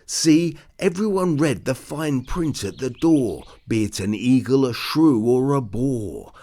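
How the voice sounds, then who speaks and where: A man narrating a rhyming children's picture book in a theatrical, deliberate way. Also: mid-range voice, lively intonation, warm tone, slight background noise. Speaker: storybook narrator. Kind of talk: rhyming children's story read-aloud.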